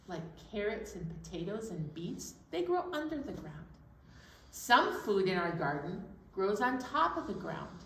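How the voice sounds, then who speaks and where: A woman presenting a children's storytime, explaining things simply and in an animated way, with sing-along songs. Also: medium pitch. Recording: roomy, distant mic, some background noise.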